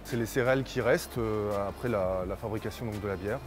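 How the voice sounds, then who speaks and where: A man's voice: on the low side, fast. A man in his thirties, a brewer, explaining a process step by step for an interview. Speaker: brewer, co-manager. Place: indoors in a brewery hall. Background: music.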